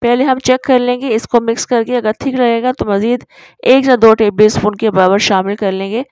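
A woman's voice: on the high side, fairly even intonation, a little fast, a little mellow, no room sound. A woman narrating a recipe tutorial as a voice-over, explaining each step in an instructive way. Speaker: cooking channel host.

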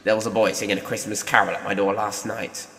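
A man's voice: fairly low pitch, little pitch movement, fast, gravelly, fairly bright tone. A man playing a grumpy, uneasy old miser in an audio play. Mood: subdued. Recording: echoey, reverberant, noisy audio play mix.

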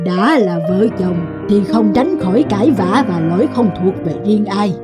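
A woman's voice: medium pitch, expressive, moderate pace, over loud music, lightly acted. A woman playing a stern, wise goddess of love in a cartoon, solemn and admonishing. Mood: advising. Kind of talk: Vietnamese cartoon dialogue.

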